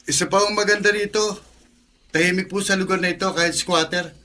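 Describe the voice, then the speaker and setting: A man's voice: sits high, fairly even intonation, a little fast, sharp tone, slight background noise. A man playing a polite, kindly young male neighbor, respectful and earnest, in a Filipino radio drama. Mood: helpful.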